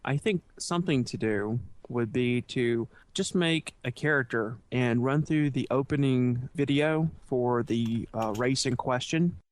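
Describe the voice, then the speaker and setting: A man on a podcast panel giving informal advice in a measured, explanatory way. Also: mid-range voice, dry recording.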